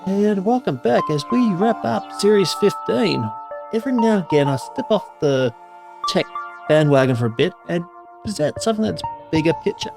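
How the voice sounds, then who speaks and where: A man's voice: high-pitched, expressive, normal speed, gravelly. Upbeat rambling podcast host guy, music going underneath. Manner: chatty, rambling, enthusiastic. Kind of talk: podcast introduction and interview.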